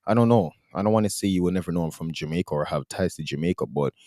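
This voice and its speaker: A man's voice: low voice, fast, darkish tone, dry recording, clean studio sound. A Canadian man hosting a podcast in a slangy, rambling casual monologue. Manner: slangy, rambling, plugs his business. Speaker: podcast host.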